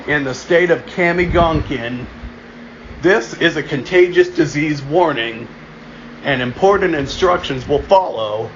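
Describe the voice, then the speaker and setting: A man playing a solemn emergency alert announcer in an amateur mock broadcast, deliberate and halting, restarting his phrases. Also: on the high side. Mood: urgent warning. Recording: dull lo-fi home recording, noticeable background hum.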